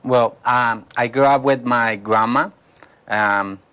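A man, a pastor, telling his life story in a studio interview, halting and searching for words. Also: mid-range voice, fairly even intonation, sharp tone, clear, resonant tone. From Colombia. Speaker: pastor, guest. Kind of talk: personal testimony interview.

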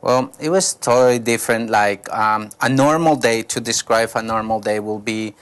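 A man, a pastor, telling his life story in a studio interview, halting and searching for words. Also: fairly low pitch, fairly bright tone. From Colombia. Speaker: pastor, guest. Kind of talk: personal testimony interview.

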